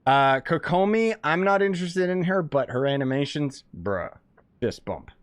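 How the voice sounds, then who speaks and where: Young male streamer for a let's-play, jokey and blunt, pitch bounces a lot. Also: animated, no echo. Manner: joking, blunt, quick to react. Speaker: gaming streamer. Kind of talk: casual let's-play commentary.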